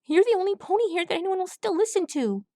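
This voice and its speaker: A woman's voice: very high-pitched, expressive, quick pace, mellow, no echo, studio quality, voice pushed up. A woman voicing a frantic young male colt who pleads urgently, breathless, his voice cracking. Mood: desperate.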